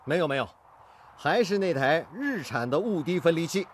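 An actor playing a determined, good-natured factory master technician in a radio drama, earnest and full of sighing interjections. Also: male voice, on the high side, very animated, normal speed, a little hiss or hum. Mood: courteous, confident.

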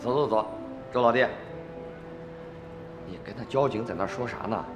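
A man's voice: mid-range voice, normal speed, pitched above the performer's own voice, natural voice. A man voicing a sly, pushy junk-dealer crook with a Shaanxi accent, coaxing and bullying in turns. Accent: Shaanxi dialect. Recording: reverberant radio drama with music.

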